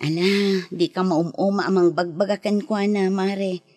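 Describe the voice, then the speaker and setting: An actress playing a sympathetic, gossipy friend and neighbour in a radio drama, with an Ilocano Filipino accent. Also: female voice, medium pitch, steady tone, moderate pace, a little mellow, no echo, lightly acted. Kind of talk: Philippine radio drama acting.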